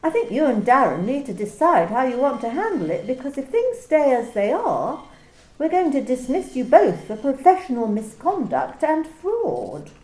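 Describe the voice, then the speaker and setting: A woman's voice: high voice, big pitch swings, moderate pace, a little mellow, roomy sound, poor audio. A woman playing a cold, controlling company manager in an amateur radio play, speaking in a clipped, coolly formal way. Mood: stern. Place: home during lockdown.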